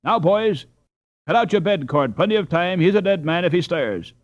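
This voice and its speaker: A man voicing a brave, commanding Dutch boy captain in a children's storybook, forceful and sure of himself. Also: high-pitched, expressive, moderate pace, dry recording, dull audio, voice pushed up. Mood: urgent, commanding.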